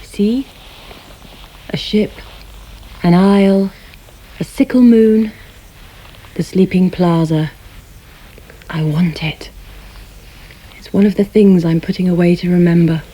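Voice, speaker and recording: An actress playing a romantic, headstrong wife in a radio drama, theatrical and intense. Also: female voice, fairly low pitch, slow, pauses often, warm tone, rough recording. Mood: dreamy, teasing. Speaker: actor. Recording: radio drama with background effects noise.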